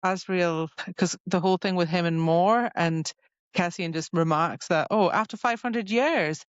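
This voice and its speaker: A woman's voice: fairly low pitch, a little slow, clean tone, no room sound, highs cut off. A woman co-hosting a podcast in casual book chat, informal and exclamatory, often quoting reactions. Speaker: podcast co-host.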